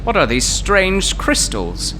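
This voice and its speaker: An actor playing a fussy, wordy gentleman scholar who speaks in flowery, old-fashioned phrases and gets excited easily, in old-time radio drama style. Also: sits high, slow, slight background noise, exaggerated voice. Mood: excitable, wordy. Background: music.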